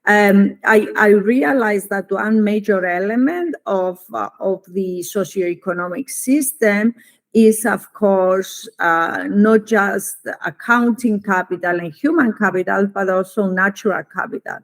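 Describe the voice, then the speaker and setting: A middle-aged woman with a Greek Cypriot accent, a native Greek speaker, giving formal, deliberate academic answers as an interview guest, with frequent pauses. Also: mid-range voice. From Cyprus. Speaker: economics professor, interview guest.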